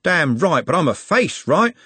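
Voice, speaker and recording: A man's voice: on the high side, very animated, measured pace, sharp tone, husky, no echo, voice pushed up, full character voice. A man voicing a brash, cocky young working-class lad in an audiobook, slangy, blunt and mocking. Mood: boastful. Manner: slangy, blunt, matey banter.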